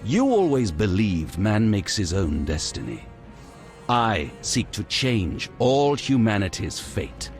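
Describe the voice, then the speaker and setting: A grandiose fantasy game villain, speaking low with big swings in pitch. He declaims like a solemn prophet convinced of his grand plan. Music plays prominently behind him. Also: big pitch swings, slow pace, darkish tone, heavily acted. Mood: solemn conviction. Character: zealous prophetic male order leader, grandiose fantasy villain. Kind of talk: dramatic fantasy video game acting.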